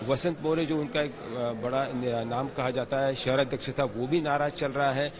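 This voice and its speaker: A male field reporter delivers a live political report outdoors. His voice sits at an ordinary middle pitch, with a clearly audible crowd around him. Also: fairly even intonation, moderate pace. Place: outdoors at a rally site. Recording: outdoors with crowd noise behind.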